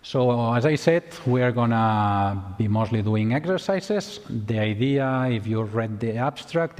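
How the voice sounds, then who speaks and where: A man's voice: lowish voice, a little mellow. A man from Spain with a Spanish accent, giving a conference tutorial, often restarting and repeating words. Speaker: tutorial presenter. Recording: long hall reverb.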